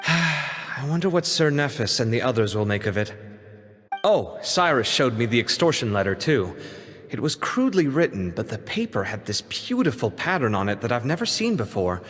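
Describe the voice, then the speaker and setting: A talkative, expressive young man whose mid-pitched voice rises and falls with his flustered, fussy energy. Long reverb hangs on his lines, and clear game music runs behind him. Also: moderate pace. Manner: lively, chatty and a little flustered. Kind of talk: video game voice acting.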